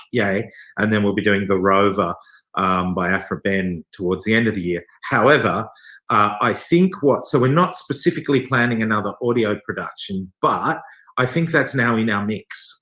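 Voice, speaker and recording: Low-pitched man with a bright, crisp edge. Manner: thoughtful, halting, wry self-deprecating humour. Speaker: theatre company director being interviewed. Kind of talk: casual interview talk.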